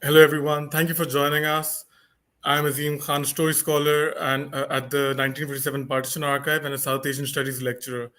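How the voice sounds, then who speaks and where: Male host, slightly high voice, formal welcome, video call. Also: a bit bright. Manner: formal welcoming, some stammering. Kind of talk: hosting an online series.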